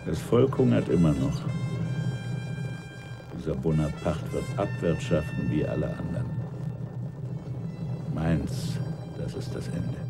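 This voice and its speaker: An actor playing an embittered, weary and defiant revolutionary veteran in a German radio drama, brooding and halting with sudden swells. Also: male voice, a bass voice, very animated, creaky, loud music behind the voice, full character voice. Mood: bitter, resigned.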